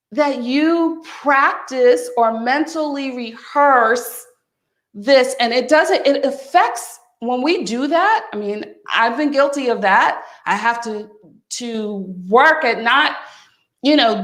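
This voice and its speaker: A woman in her fifties giving a motivational wellness talk, speaking warmly and directly to the listener as "my friend" and "honey.". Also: high-pitched. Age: 50s. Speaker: wellness coach. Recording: echoey room.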